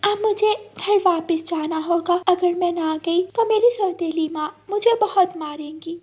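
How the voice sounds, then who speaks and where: A woman's voice: extremely high pitch, a little slow, darkish tone, voice pushed up. A woman voicing a small, meek young girl bird with a plaintive, pleading manner in a cartoon. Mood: anxious pleading.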